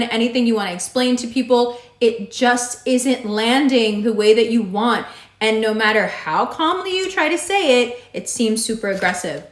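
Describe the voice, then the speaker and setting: Raspy-voiced woman giving a horoscope. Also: a little high. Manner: direct, emphatic, repeats advice. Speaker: astrology reader. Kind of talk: monthly horoscope reading. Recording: echoey room.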